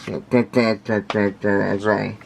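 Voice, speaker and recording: A man giving casual unscripted product commentary in halting, repetitive fragments, with labored, indistinct articulation from a speech impediment. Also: on the low side, level tone, vocal fry, a little hiss or hum. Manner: halting, repetitive, fragmented phrasing with effortful delivery. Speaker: vlogger unboxing audio gear.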